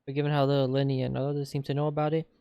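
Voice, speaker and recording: A man's voice: medium pitch, level tone, racing, mellow, clear, resonant tone. A man giving casual gameplay reaction commentary, reading text aloud and quipping. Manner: reads text aloud and quips. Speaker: gameplay streamer.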